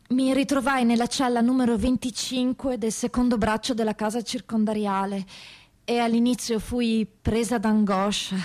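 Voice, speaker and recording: A woman with a rather high voice delivers a dramatic monologue for radio theatre. Her phrasing is grave, measured and deliberate. Music accompanies the voice. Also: a little mellow. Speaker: actress reading prisoners' testimonies.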